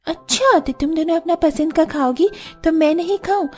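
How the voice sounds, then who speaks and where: Woman acting a whiny, high-pitched young daughter. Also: normal speed, a little mellow, soft background music. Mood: whiny, coaxing. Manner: pleading, whining. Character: whiny, dramatic young daughters and sisters-in-law.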